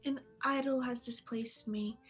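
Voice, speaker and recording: A woman's voice: fairly high pitch, fairly even intonation, a little slow, breathy edge, faint music behind. A young woman playing a sorrowful, dignified fiancée in a radio play. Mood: sad, reproachful.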